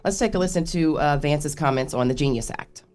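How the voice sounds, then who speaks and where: An American woman reporting news on a radio morning show, with a halting delivery that stumbles and restarts between read copy and conversational asides. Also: low-pitched, darkish tone, soft background music.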